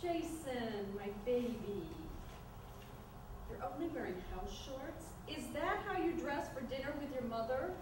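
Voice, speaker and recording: A woman's voice: sits high, lively intonation, measured pace. A woman playing a snooty, critical mother of a grown son in a staged screenplay reading, haughty and dryly passive-aggressive. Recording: echoey room, distant mic, noisy.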